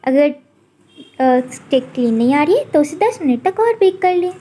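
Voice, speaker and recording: High-pitched woman narrating a recipe. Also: measured pace. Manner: calm instructional narration. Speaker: cooking channel host. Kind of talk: step-by-step recipe voice-over.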